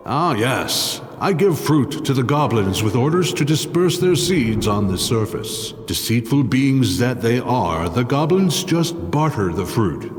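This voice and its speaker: A man's voice: medium pitch, sing-song, measured pace, hardly any pauses. An actor playing a mad, haughty outcast druid villain in a fantasy audio drama, pompous and mocking in his theatrical delivery. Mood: proud, fanatical. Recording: long reverb, music underneath.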